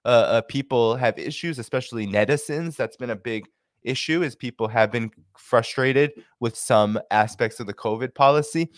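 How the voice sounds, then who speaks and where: A man's voice: medium pitch, moderate pace, dry recording. A young man hosting from a home office on a studio mic, commentating animatedly on clips with many fillers. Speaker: host and commentator. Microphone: studio mic with headphones.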